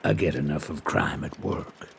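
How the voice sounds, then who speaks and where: Gravelly, sardonic noir detective. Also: male voice, rumbling low, background noise, exaggerated voice. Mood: dry, wry. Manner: dry, wry, measured delivery. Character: sardonic, world-weary 1930s male private detective. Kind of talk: scripted noir audio drama acting.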